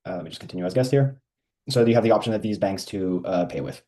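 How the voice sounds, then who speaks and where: A man giving an informal, step-by-step software walkthrough as a demo presenter. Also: deep voice, quick pace. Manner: explanatory, step by step.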